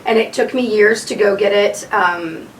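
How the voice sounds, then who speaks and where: A young adult woman, a radio co-host, talking candidly in an interview with a husky voice from throat nodules. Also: mid-range voice, normal speed, slight background noise. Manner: candid, laughs often. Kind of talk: casual interview chat. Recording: echoey room, mic at a distance.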